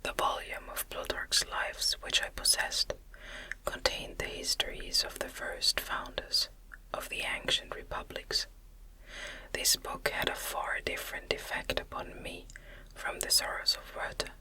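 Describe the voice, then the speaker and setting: A woman reading a gothic novel as ASMR, in a gentle, breathy, unvoiced whisper with a measured delivery. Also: rough recording. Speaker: audiobook narrator.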